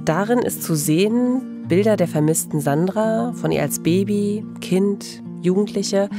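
A woman, narrating a true-crime podcast as host in a measured, scripted style. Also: medium pitch. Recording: voice over music bed.

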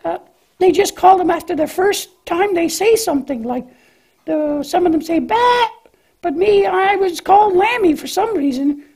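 A woman's voice: extremely high pitch, lively intonation, normal speed, darkish tone. A woman voicing a cheerful, naive young lamb in a children's church puppet show, hesitant and questioning in a childlike way. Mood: chatty, naive.

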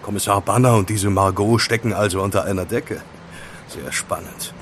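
An actor playing a wary, questioning young student in a German audio drama. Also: male voice, on the low side, steady tone, moderate pace, fairly bright tone, a little hiss or hum, natural voice. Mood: suspicious. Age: young adult.

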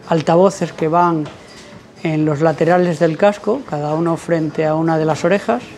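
A man narrates a hands-on tutorial as a presenter, explaining things with halting pauses. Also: high voice, darkish tone. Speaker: presenter of a motorcycle channel. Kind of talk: tutorial voice-over commentary.

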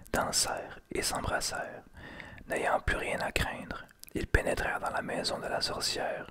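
A man reading a fairy tale aloud in a soft ASMR whisper. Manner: unvoiced whisper throughout. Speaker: storyteller.

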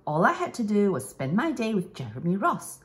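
Woman reading a storybook, very animated pitch. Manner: dramatic, with emphatic pauses and hushed asides. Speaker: storybook reader. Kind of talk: expressive children's picture book read-aloud.

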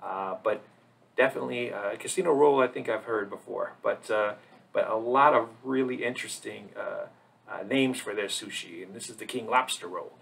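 A man with a husky, slightly gravelly voice giving a casual, rambling tour narration as a vlog host, with hesitant pauses. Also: on the low side, fairly bright tone. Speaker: travel vlogger, host.

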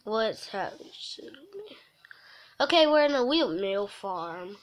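A woman livestreamer reading a visual novel aloud, haltingly, breaking off for casual offhand asides. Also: medium pitch, sing-song. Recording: noisy mobile stream with clicks.

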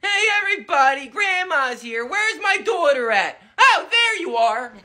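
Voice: very high-pitched, very animated, unhurried, bright, forceful tone, full character voice. A performer voicing a feisty, protective old grandmother who dotes on her grandkids in a comedy cartoon. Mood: stern. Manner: feisty, doting.

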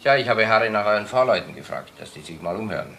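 A harried police detective in a crime drama. His voice is low but bright and forceful, earnest and emphatic, over slight background noise. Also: expressive, fast, creaky. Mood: worried, serious. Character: harried, earnest male burglary-squad detective.

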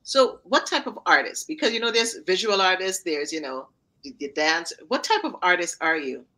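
A woman's voice: fairly low pitch, big pitch swings, normal speed, sharp tone. A middle-aged woman with a slight Trinidadian accent, hosting an interview show in an enthusiastic, encouraging way over a video call. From Trinidad and Tobago heritage. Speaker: talk show host and mental fitness coach. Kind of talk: warm upbeat interview hosting. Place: home room on a video call.

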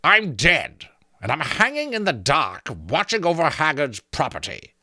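Storybook undead skull, mocking and creaky, drawls its taunts; a man puts it on. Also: on the high side, huge pitch swings, sharp tone, dry recording, clean studio sound, voice pushed up, full character voice. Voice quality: creaking, rattling voice. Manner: teasing, drawn-out, slow delivery.

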